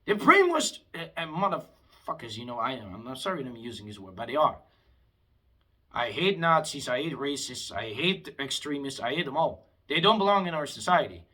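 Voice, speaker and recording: A man's voice: mid-range voice, sing-song, normal speed, bright, forceful tone. Guy in his late 20s, rambling and heated, swoops up and down a lot. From Afghanistan. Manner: heated, rambling, with frequent restarts. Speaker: vlogger. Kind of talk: impassioned rambling monologue.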